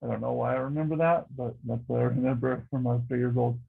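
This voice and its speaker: Creaky-voiced man, dull lo-fi sound. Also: medium pitch, steady tone, fast talker, faint music behind. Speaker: patient panelist. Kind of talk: casual recollection on an online panel.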